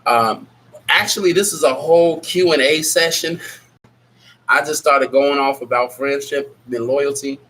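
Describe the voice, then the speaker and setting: A man's voice: mid-range voice, a little slow, fairly bright tone. A man hosting a casual live Q&A, sick with a stuffy nose and scratchy throat, talking in a rambling, blunt way. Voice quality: nasal. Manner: rambling, candid and blunt, reads out chat names. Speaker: livestream host.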